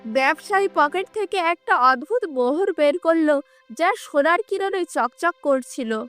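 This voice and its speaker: A female storyteller narrates a cartoon tale from a script. Her voice is extremely high, with faint music playing behind it. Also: expressive, measured pace, fairly bright tone.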